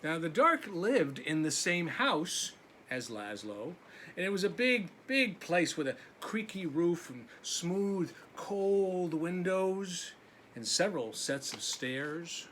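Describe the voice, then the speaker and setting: A man's voice: high voice, sing-song, takes it slowly, a bit bright, a little hiss or hum. A man reading a picture book aloud as a teacher, with simple asides to his young listeners.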